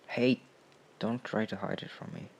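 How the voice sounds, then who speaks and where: A man acting a caring, protective male comrade in a comfort roleplay, speaking softly and soothingly with unhurried pauses. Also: fairly low pitch, wildly swooping pitch, creaky, husky, exaggerated voice. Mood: alarmed, insistent. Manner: soft-spoken, hushed, soothing, with unhurried pauses. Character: caring male anarchist comrade, protective, gently scolding, tending a friend.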